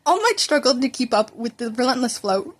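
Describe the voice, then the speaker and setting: A woman's voice: on the high side, animated, brisk. A woman reading a story aloud as narrator, informally, now and then stumbling and rereading a word. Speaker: podfic reader. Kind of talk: reading fan fiction aloud, with character dialogue.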